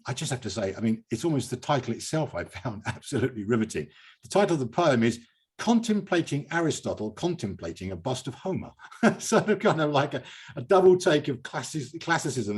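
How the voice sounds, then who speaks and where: British male host, low-pitched, husky, effusive, digressive, conversational poetry event presenting. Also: a little mellow. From United Kingdom. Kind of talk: live event hosting, conversational interviewing.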